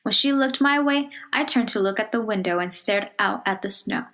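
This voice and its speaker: A woman reads a children's picture book aloud in a measured, expressive storytelling manner, dropping to a hush on some phrases. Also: mid-range voice. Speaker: storybook reader.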